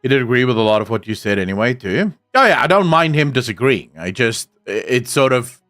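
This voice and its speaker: A man from South Africa with a soft South African English accent, Afrikaans his first language, chatting casually on a stream and answering chat. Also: medium pitch, big pitch swings, brisk, soft background music. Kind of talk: casual live-stream chat, reading comments.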